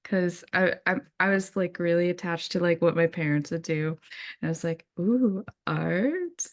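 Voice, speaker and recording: A woman's voice: on the low side, normal speed. A young woman from Wisconsin, an illustrator guest talking casually, with many "likes" and ums, acting out little quotes. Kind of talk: casual interview chat.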